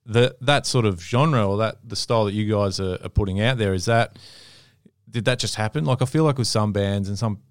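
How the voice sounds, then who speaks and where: Halting podcast host. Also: male voice, lowish voice, clean tone, no echo, studio quality. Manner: halting, repeats words while forming questions. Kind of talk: casual interview chat.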